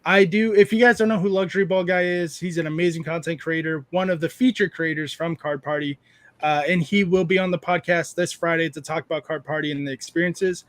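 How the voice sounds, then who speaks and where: A man's voice: a little high, fairly even intonation, brisk, a bit bright, no room sound. Jokey man hosting a livestream. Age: 30s. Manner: rambling, jokey, reads chat aloud. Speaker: livestream host. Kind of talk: casual livestream chat.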